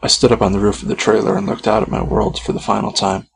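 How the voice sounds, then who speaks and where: A man's voice: lowish voice, big pitch swings, fast, gravelly, slight background noise. A man reading a scripted first-person horror story as its author and narrator.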